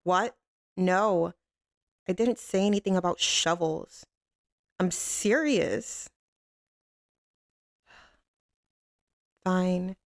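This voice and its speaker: A woman playing a tough, commanding mafia boss with a tender side for a lover, in an intimate ASMR roleplay, with a gravelly, creaky voice and a cool drawl. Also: lowish voice, dry recording, clean studio sound. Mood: cold, commanding. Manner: cool, unhurried, commanding drawl with pet names.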